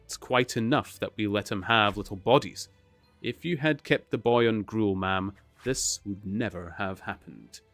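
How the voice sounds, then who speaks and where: A man's voice: lowish voice, unhurried, a bit bright, faint music behind. A man reading aloud and voicing a pompous, stern male parish beadle who scolds with heavy emphasis. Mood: stern scolding. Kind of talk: storybook reading.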